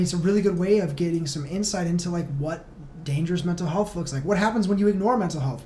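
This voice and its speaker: A man's voice: a little high, darkish tone. A man chatting casually and earnestly as a podcast guest, speaking emphatically and informally. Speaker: band singer, podcast guest. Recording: faint background noise.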